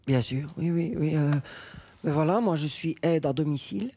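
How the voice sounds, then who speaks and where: An actress playing a nervous, apologetic, quietly defiant home care worker in a radio drama, hesitant and stammering. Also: female voice, deep voice, moderate pace, slightly rough. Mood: hesitant, aggrieved. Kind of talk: naturalistic radio drama acting.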